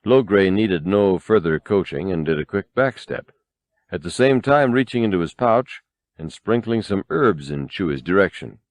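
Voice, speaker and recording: Male narrator for adventure fiction: lowish pitch, animated range, measured dramatic delivery, dry sound. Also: no echo. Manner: expressive, measured storytelling. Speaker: audiobook narrator. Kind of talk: scripted dramatic narration of a novel.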